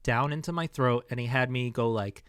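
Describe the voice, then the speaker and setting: A man's voice: mid-range voice, moderate pace, a bit bright, clean tone, dry recording. Man with a tired, scratchy voice. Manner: rambling, hesitant, many restarts and fillers. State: vocal fatigue, scratchy throat. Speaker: vlogger sharing health experience. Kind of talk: casual personal vlog. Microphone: close microphone.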